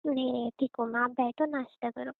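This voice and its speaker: Woman playing teasing young wife, high voice, stubborn but sweet, chatty home roleplay, tinny phone call sound. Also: steady tone, a little fast, vocal fry. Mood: mild, reassuring. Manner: teasing, informal. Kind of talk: casual domestic audio roleplay. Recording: tinny phone-like band, roomy echo.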